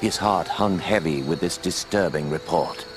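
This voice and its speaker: A man narrating a game story in a measured, poetic way, over game music. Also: sits low, background noise.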